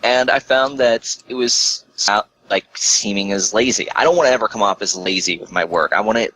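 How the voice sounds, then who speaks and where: Male podcast guest: slightly low, very bright voice, loose conversational delivery with swearing. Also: fairly quick, dry recording, rough recording. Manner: informal, sweary, peppers talk with "like". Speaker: guest, online cartoon critic. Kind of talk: casual chat, rambling anecdotes.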